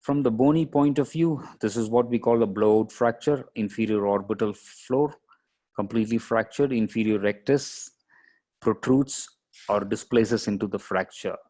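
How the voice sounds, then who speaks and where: A man lecturing as a radiologist, explaining case images step by step in a halting, explanatory way. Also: lowish voice, warm tone.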